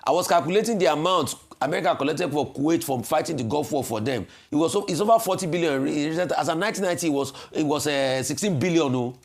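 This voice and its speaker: A Nigerian man with a fairly high voice argues his case on a broadcast, pressing one rhetorical question after another. He pauses pointedly before hammering his points home. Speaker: security commentator. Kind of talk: impassioned televised commentary.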